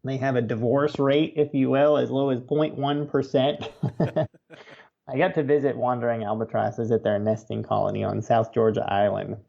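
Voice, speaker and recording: A man's voice: mid-range voice, level tone, normal speed, gravelly, dark tone, dull audio. A man with an American accent, an interview guest telling stories conversationally. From United States, Oregon. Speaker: author and birder, interview guest.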